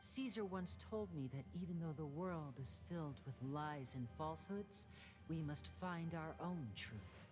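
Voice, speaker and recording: Low-voiced, composed young male magician. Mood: grave, probing. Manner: measured, deliberate, theatrical. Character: young male stage magician, composed, theatrical, quietly cutting. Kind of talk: video game voice acting. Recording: music clearly behind the voice.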